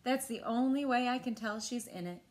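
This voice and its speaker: A woman in her thirties with an American accent, reading a picture book aloud to children with expressive, clearly phrased delivery. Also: fairly high pitch, normal speed. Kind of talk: children's read-aloud storytelling. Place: small indoor room.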